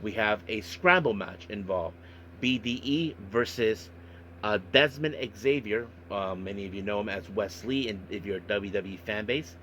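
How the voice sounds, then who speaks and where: A man hosting a podcast monologue, recapping and commenting on events in a halting way with repeated filler phrases. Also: fairly low pitch, bright, forceful tone, a little hiss or hum. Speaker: wrestling podcast host.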